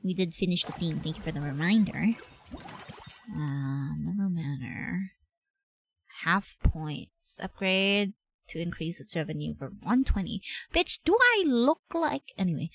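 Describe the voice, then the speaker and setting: A woman's voice: lowish voice, lively intonation, a little slow, low-quality recording. A woman livestreaming a game with casual, playful, slangy commentary, chatting to herself and to her viewers.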